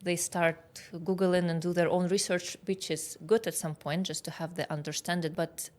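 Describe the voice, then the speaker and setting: Low-voiced woman with a Russian accent. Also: little pitch movement, normal speed, darkish tone. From Kazakhstan. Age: 30s. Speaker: guest expert, aesthetic doctor. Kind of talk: conversational interview explanation.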